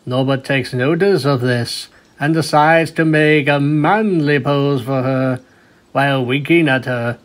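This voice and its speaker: A man's voice: mid-range voice, unhurried. A man reading a story aloud as a scripted narration. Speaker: fanfiction reader, narrator.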